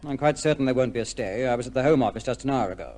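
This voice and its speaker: Dutiful senior policeman male actor, medium pitch, clipped and formal, faintly weary, period radio play. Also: rapid-fire, a little mellow, natural voice. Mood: businesslike. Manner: clipped, formal, plain-spoken. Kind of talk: old-time radio drama acting.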